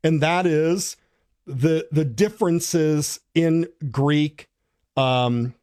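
A man's voice: a little high, very slow, a little mellow, smooth. A man hosting a talk, speaking earnestly and urging his listeners, with frequent restarts and fillers. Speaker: host. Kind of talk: talk on church language.

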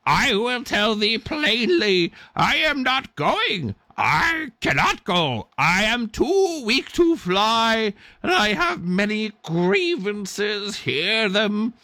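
Casting: a shrill, croaking, self-pitying raven, whining in grand storybook style, voice swooping widely. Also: male voice, big pitch swings, slow, hardly any pauses, bright, forceful tone, no echo, clean studio sound, full character voice. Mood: aggrieved. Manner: whining, melodramatic complaining.

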